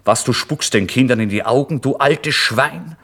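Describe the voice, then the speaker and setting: A man playing a furious, coarse male innkeeper who curses and shouts in a dramatic audiobook reading. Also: medium pitch, normal speed, pitched above the performer's own voice, lightly acted. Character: furious, brutish male innkeeper, cursing and bellowing.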